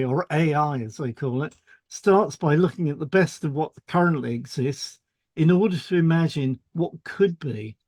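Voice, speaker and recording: A man's voice: fairly high pitch, darkish tone, no room sound. A man reading a scripted explanatory podcast as co-host.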